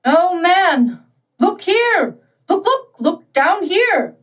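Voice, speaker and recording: A woman's voice: extremely high pitch, big pitch swings, very slow, far from the mic, voice pushed up, exaggerated voice. A woman voicing a solemn, aging male Christmas ghost in a storybook reading, with grave and dramatic warnings. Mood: urgent, anguished.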